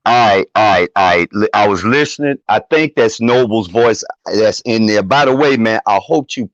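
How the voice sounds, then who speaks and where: A man's voice: fairly low pitch, normal speed, no room sound. Man calling in, muffled and defiant. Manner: defiant, profane, repeats himself for emphasis. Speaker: caller joining the discussion. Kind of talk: opinionated call-in commentary.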